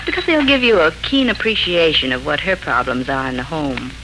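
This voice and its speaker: A middle-aged woman playing a practical, patient mother in a 1940s radio drama, gently firm and instructive. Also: sits low, animated, a little fast. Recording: hissy 1940s radio recording.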